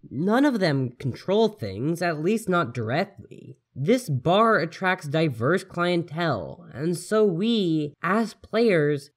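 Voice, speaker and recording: A video essayist reading a scripted game review in an earnest, articulate, carefully phrased way. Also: mid-range voice.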